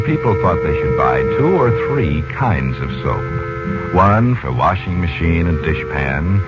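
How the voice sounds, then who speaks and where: A man announcing and reading an advertisement in polished, emphatic 1940s radio style. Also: low voice. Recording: muffled old radio recording. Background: loud music.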